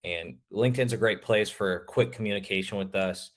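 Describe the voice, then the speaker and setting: A man presenting a webinar, his voice a little raspy and hoarse, talking casually with many fillers. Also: lowish voice, normal speed. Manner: casual, filler-heavy, loose explanations. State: slightly hoarse.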